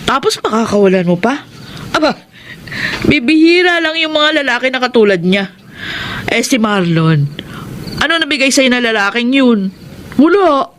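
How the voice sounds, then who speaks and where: A woman's voice: fairly high pitch, expressive, normal speed, long pauses, slight background noise, close to an everyday voice. A woman playing a frank, nagging older sister in a Filipino radio drama, blunt and teasing, with a Filipino accent. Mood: scolding.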